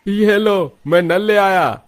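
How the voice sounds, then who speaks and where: A man's voice: high-pitched, slow. A man voicing a helpful husband from a poor family in a Hindi cartoon story. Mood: pleased.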